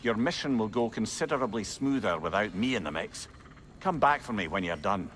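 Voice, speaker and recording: A man's voice: on the low side, animated, a little fast, fairly bright tone, slight background noise. An actor playing a shrewd, courteous male advisor in a video game, articulate and droll. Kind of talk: video game character acting.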